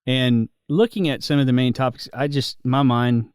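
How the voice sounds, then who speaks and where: A man's voice: medium pitch, fairly even intonation, normal speed, clean tone, no room sound, studio quality. A man hosting a podcast who talks in a friendly, easygoing way, often restarting his sentences. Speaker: podcast host. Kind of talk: casual podcast chat.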